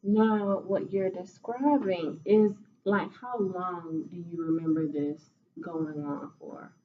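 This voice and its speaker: A woman playing a clinician in a role-played voice evaluation, asking calm, methodical questions. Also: on the low side, slow, lo-fi sound, slight background noise. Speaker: student clinician in a mock voice evaluation.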